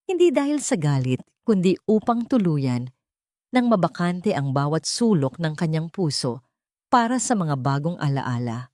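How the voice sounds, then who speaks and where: A woman's voice: deep voice, sing-song, moderate pace, dark tone, dry recording, studio quality. A woman with a Filipino accent narrating a dramatic story from a script.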